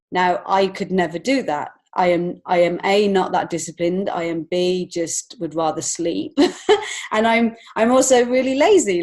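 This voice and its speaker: A woman speaks as a guest in a relaxed interview. Her voice sits on the low side for a woman. She talks candidly and expressively, with a giggly streak. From lives in Montreal, Canada. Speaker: guest, children's author and illustrator. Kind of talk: casual interview chat.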